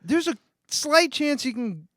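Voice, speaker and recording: A man's voice: very high voice, sing-song, measured pace, pushed and bright. A man co-hosting a podcast, blunt and wry, swearing in casual chat. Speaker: podcast co-host.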